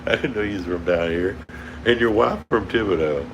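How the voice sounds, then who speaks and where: A man with an Oklahoma drawl talks to his livestream viewers in a rambling, easygoing way, chuckling now and then. His voice sits a little low. An air conditioner hums steadily behind him. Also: brisk, highs cut off, background noise. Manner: rambling, laid-back, chuckles often. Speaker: towboat worker livestreaming. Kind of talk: casual livestream chat with viewers.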